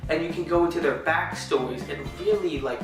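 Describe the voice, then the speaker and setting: A stuffy, congested man talks chattily and eagerly into the camera, with dry sarcastic asides. His somewhat high voice sits back from the mic in a very echoey room. Background music plays clearly under him. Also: fairly quick, distant mic. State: stuffy, sick voice. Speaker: vlogger. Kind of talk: casual vlog commentary.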